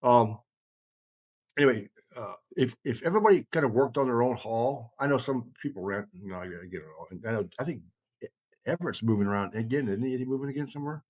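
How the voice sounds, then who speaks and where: Middle-aged male podcast host, average pitch. Blunt, folksy, sincere, loosely rambling conversation. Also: animated, fast talker, a little mellow. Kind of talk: casual rambling group discussion.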